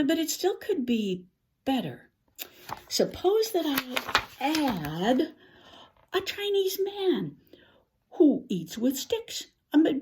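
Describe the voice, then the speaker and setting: An older woman reads a rhyming children's story aloud in an expressive, theatrical way, with musing exclamations and dramatic pauses. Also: mid-range voice. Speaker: picture-book reader.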